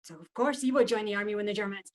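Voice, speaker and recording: An actress playing a grieving, embittered wartime Frenchwoman, halting and emotional, with a French accent. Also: medium pitch, natural voice. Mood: sorrowful. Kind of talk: theatrical monologue.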